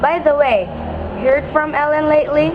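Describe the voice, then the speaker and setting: A woman's voice: sits high, steady tone, moderate pace, close to an everyday voice. A woman playing a teasing, caring young woman in an amateur radio play, with a direct way of talking. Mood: concerned, insistent. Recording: dull lo-fi audio, noisy background.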